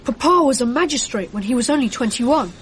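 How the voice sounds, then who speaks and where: A woman playing a proud, upper-class young boy with an English accent and a brisk, boyish manner in a radio drama. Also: sits high, animated. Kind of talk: period radio drama dialogue. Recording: noisy archive radio drama recording.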